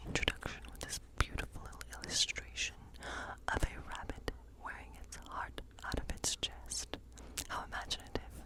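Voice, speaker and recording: A woman performing a whispered ASMR role play as a whimsical witch reading tarot, musing softly in a breathy, unhurried whisper. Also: low-quality recording.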